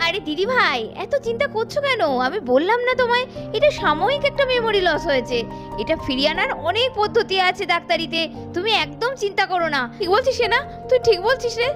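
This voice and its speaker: An emotional young doll woman acted by a woman with an extremely high voice and a quick, emphatic delivery. It is melodramatic cartoon acting with music playing behind. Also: big pitch swings. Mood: worried. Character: excitable young women of a doll family, anxious and emotional.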